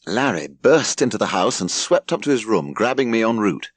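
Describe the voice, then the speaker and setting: A man with an English accent reading an audiobook as expressive scripted narration. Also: lowish voice, moderate pace, breathy edge, dry recording.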